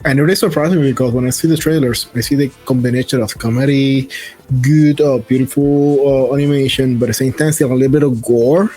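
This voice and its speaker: Excited host guy, trips over words, faint music in back. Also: mid-range voice. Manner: excitable, quick, stumbling phrasing. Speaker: podcast host. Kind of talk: casual interview chat. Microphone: remote video call.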